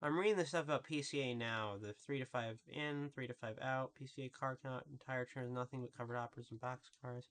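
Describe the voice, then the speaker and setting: A woman with a really deep voice, casually commentating a live game stream and chatting with viewers in a rambling, profane way. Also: steady tone, brisk, few pauses. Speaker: VTuber streamer.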